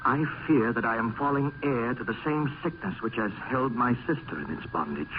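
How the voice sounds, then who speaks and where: A man's voice: lively intonation, normal speed, close to an everyday voice. A man playing a nervous, courtly aristocrat in a gothic radio drama, theatrical and effusive in his speech. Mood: grave, resigned. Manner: theatrical, effusive, high-strung. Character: nervous, courtly, ailing aristocrat in gloomy mansion. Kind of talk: old-time gothic radio drama acting. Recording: muffled, faintly noisy old radio.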